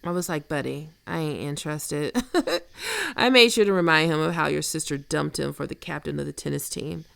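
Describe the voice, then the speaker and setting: Woman acting a very creaky, teasing flirt. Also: low-pitched, lively intonation, a bit bright, no room sound, exaggerated voice. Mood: playful teasing. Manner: casual, teasing, unhurried. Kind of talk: intimate ASMR roleplay acting.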